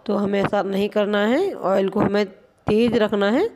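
A woman hosts a home cooking lesson, narrating each step patiently as she works at a gas stove. She talks in a plain, even, mid-pitched voice and keeps drawing attention to what is happening in the pan, recorded on a phone in a home kitchen. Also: moderate pace. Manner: patient, explanatory, keeps pointing things out. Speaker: home cooking channel host.

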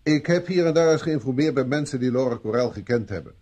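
An actor playing a stern, sceptical British police inspector who questions with sarcasm in a radio drama. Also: male voice, mid-range voice, expressive, fast, darkish tone, natural voice. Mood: stern, accusing. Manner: sarcastic, interrogating. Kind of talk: vintage Dutch radio drama acting.

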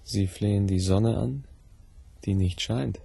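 An actor playing a sceptical, world-weary man in a radio play, with a gravelly, husky voice. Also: lowish voice, slow, mellow, dry recording, exaggerated voice. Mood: weary, questioning.

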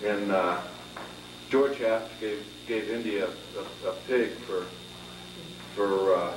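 A man's voice: lowish voice, slow. A man on a panel telling a rambling, easygoing story in casual chat. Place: indoor panel room. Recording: echoey room from far off with background noise.

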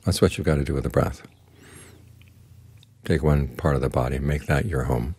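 An older man, a Buddhist monk, giving a slow, calm, plainspoken guided meditation talk. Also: very deep voice, husky, dry recording. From United States.

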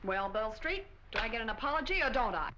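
A woman's voice: fairly high pitch, big pitch swings, fast talker, pushed and bright. An American woman in her twenties playing a wisecracking newspaper reporter in a 1940s film. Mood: sardonic. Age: 20s. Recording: dull, noisy 1940 film soundtrack.

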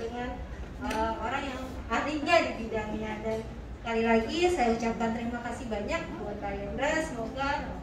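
A woman co-founder giving a friendly welcome speech on a handheld mic, with laughing asides. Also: high voice. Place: clinic showroom, indoors. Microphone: handheld mic, far camera. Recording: echoey room, distant mic, noise.

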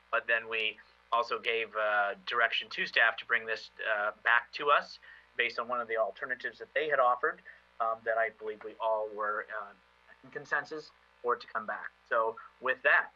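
A man with a very bright, sharp voice leads a meeting, phrasing things loosely with pauses and fillers. The audio is muffled, as over a video call. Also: medium pitch. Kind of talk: chairing a meeting.

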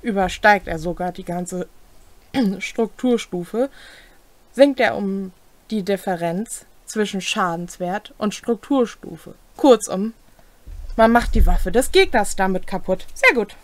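A young adult woman, co-hosting and reading game rules aloud in a deliberate way with brief comments. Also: medium pitch, expressive, dry recording. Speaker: co-host explaining tabletop game rules. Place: small home room, indoors.

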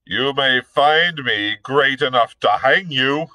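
A man playing a loud, hectoring dragoon captain. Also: mid-range voice, slow, dry recording, voice pushed up. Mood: blustering. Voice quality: heavy, hectoring. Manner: loud, sneering. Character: blustering bullying male dragoon captain.

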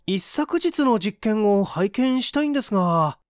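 A man's voice: sits high, lively intonation, a little slow, dry recording, studio quality, voice pushed up. A man voicing a polite, deferential, hesitant young research student in an audiobook.

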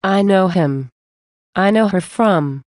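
A woman reading English example phrases for a language lesson, saying many of them twice in a measured way. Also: medium pitch, animated, darkish tone, dry recording. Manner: measured, repeats each phrase slowly. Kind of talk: slow scripted phrase reading.

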